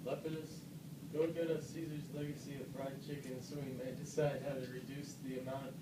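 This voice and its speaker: A man's voice: mid-range voice, little pitch movement, fairly quick, lightly acted. Scheming animal leader read by a man, very noisy. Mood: scheming, commanding. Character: scheming male animal leader, Antony, commanding. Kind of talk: amateur read-aloud puppet play. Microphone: far camera. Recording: echoey classroom, distant mic, loud noise.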